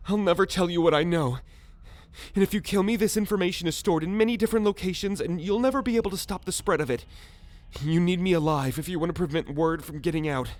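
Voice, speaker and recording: Guy voicing a nervy young alien prisoner, kinda high voice, stammery and earnest, audio roleplay. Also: quick pace, natural voice. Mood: defiant, scared. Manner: stammering, hesitant, excitable. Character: jittery, earnest young male alien captive, wary yet polite. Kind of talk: ASMR roleplay audio drama.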